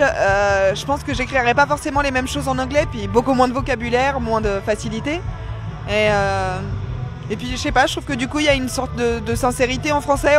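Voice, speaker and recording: A man with a very high, light voice talks casually about his band's songwriting, pausing and restarting often. Music plays clearly in the background. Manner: hesitant, many fillers and restarts. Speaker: band songwriter. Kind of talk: casual festival interview. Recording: music playing behind.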